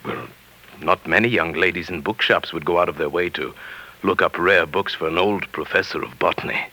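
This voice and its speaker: An actor playing a meek, henpecked middle-aged botany professor with quiet schemes, hesitant and formal, in a 1940s radio drama. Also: male voice, sits low, brisk, rough recording. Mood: mild, flustered. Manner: hesitant, mild, formal academic. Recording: vintage 1940s radio recording.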